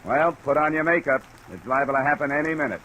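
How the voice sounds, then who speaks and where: An actor playing a wry, wisecracking American insurance investigator in a 1950s radio detective drama. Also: male voice, fairly high pitch, expressive, fast, bright, forceful tone. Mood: brisk, wry. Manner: dry, wisecracking. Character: wry, brisk male insurance investigator narrating his case. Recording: noisy old radio transcription.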